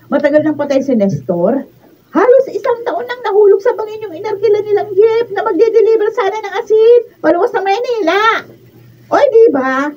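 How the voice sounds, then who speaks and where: A woman's voice: extremely high pitch, lively intonation, moderate pace, mellow. A Filipino woman playing a blunt, exasperated salt-worker friend, scolding and sarcastic in a radio drama. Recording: muffled, distant mic, background noise.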